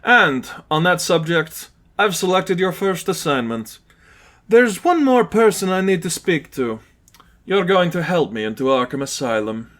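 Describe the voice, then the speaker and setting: A man voicing a cold, controlling male villain in an audiobook, speaking in a commanding, deliberate, threatening way. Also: sits high, sing-song, a bit bright, pitched above the performer's own voice, exaggerated voice. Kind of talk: fan fiction audiobook character acting.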